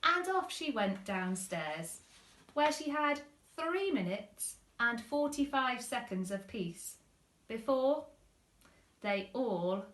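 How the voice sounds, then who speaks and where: A woman reading a children's story expressively, with deliberate phrasing, in a roomy-sounding recording. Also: on the high side, very animated, fairly bright tone. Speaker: primary school teacher reading stories.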